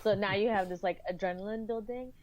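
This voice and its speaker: A woman's voice: medium pitch, fast talker. A woman speaking casually as a guest actor in a discussion. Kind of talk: casual chat. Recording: slightly dull lo-fi audio.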